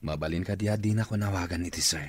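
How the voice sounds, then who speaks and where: A man's voice: low voice, fast, no room sound. An actor playing a lovestruck, persistent boss courting his clerk in an Ilocano radio comedy drama, pleading and theatrical. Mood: earnest, tender.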